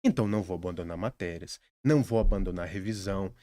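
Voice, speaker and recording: A man's voice: deep voice, fast, mellow, dry recording. A young adult man with a Brazilian accent, a podcast guest earnestly sharing study advice in casual conversation, with frequent restarts. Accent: Brazilian Portuguese.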